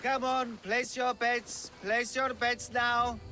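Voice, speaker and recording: A jittery, high-voiced bookmaker. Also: male voice, level tone, slow, noisy background. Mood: nervous. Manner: nervous, hesitant, pleading. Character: anxious male bookmaker, fretting over bets. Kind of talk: video game cutscene acting.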